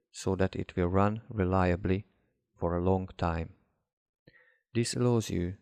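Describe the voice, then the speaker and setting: A man reading a guided hypnosis relaxation script as a hypnotherapist, in a measured, instructive way with deliberate pauses. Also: low-pitched, vocal fry, darkish tone, dry recording. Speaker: hypnotherapist guiding a session.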